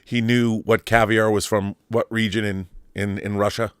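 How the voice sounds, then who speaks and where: A man's voice: lowish voice, little pitch movement, moderate pace, clear, resonant tone, dry recording, clean studio sound. A middle-aged man from the Pacific Northwest of the United States hosting a podcast, talking through a loose, rambling monologue full of asides and restarts. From Mercer Island, Washington, USA. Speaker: podcast host. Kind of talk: casual monologue.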